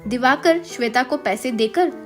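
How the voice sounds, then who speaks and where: A woman's voice: sits high, normal speed, soft background music. A woman reading a scripted moral story as its narrator.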